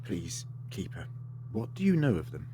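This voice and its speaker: Man playing a time-travelling alien adventurer, lively varied delivery, articulate, wry, protective, audio drama, clear background hum. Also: deep voice, moderate pace. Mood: earnest.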